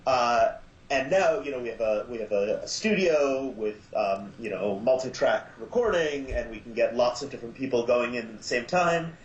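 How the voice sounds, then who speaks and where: A man speaking as an interview guest in casual chat, enthusiastic but halting and reflective. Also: medium pitch, animated, roomy sound, lo-fi sound, a little hiss or hum. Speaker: podcast guest, audio drama maker.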